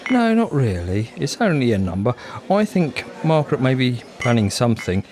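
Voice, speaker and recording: A man's voice: medium pitch, big pitch swings, normal speed, noisy background, natural voice. A man playing a genial, homely husband of nearly sixty in a comic audio drama. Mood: easygoing. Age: older.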